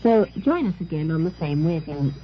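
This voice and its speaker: A woman's voice: low-pitched, sing-song, brisk, muffled recording. A woman presenting on 1960s shortwave radio in the announcing style of an international broadcast. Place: radio studio, heard over shortwave.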